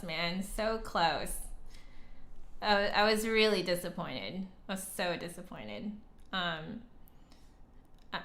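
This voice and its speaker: A woman chatting casually as a livestream host and flute teacher, conversational, with hesitant pauses and emphatic repeats. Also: fairly low pitch, a bit bright. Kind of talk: casual livestream chat, answering viewer comments.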